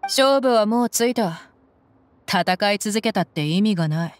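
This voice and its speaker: A tough young mercenary woman from a video game, voiced by an actress. She speaks in a blunt, tomboyish, commanding way at a middle pitch. Some noise sits behind the voice. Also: expressive, a little slow, close to an everyday voice. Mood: cool defiance. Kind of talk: Japanese game voice acting.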